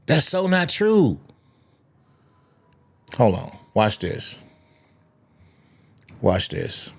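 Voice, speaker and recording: A man's voice: mid-range voice, big pitch swings, drawn-out words, vocal fry. A man hosting a live online discussion, arguing back casually and repeating his phrases for emphasis. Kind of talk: casual debate, reacting to live comments.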